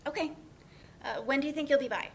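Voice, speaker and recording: A woman's voice: sits high, quick pace, a little hiss or hum. A woman playing an earnest young professional in a dramatic reading of a stage play, speaking conversationally with small hesitations. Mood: flustered. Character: Kat, earnest, apologetic young professional woman, teasing friend.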